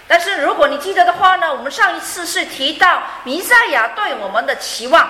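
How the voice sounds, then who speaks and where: A woman's voice: extremely high pitch, expressive, brisk, pushed and bright. A woman interpreting a sermon phrase by phrase, fluent but with frequent fillers and light laughs. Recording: echoey room.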